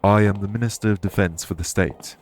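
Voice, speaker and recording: A man playing a courteous former king turned fae official in an audio roleplay, speaking formally and with measured reassurance. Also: fairly low pitch, steady tone, over music, natural voice. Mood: reserved, matter-of-fact. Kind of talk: audio roleplay spoken to the listener.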